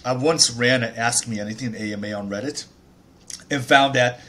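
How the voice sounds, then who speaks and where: A man's voice: mid-range voice, normal speed, fairly bright tone. A man in his thirties from Taiwan, a startup founder telling his life story in a casual interview, chatty with many fillers and restarts.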